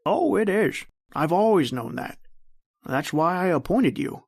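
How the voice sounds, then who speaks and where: Man playing a kindly older doctor, higher-pitched. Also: sing-song, unhurried, vocal fry, slightly rough, no room sound, clean studio sound, heavily acted. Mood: kindly, encouraging. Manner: folksy, hesitant, encouraging. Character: older kindly male doctor, fatherly and appreciative. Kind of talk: old-time radio drama acting.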